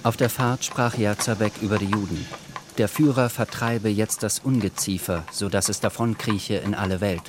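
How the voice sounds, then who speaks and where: An actor playing a sober literary narrator in a radio drama, telling the story in a measured, dry manner. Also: male voice, fairly low pitch, fairly even intonation, background noise, natural voice.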